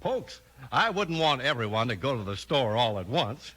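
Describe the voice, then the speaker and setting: An American man with a husky voice reading a folksy 1950s radio commercial. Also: medium pitch, big pitch swings, moderate pace, sharp tone, faint music behind, poor audio. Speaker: commercial pitchman.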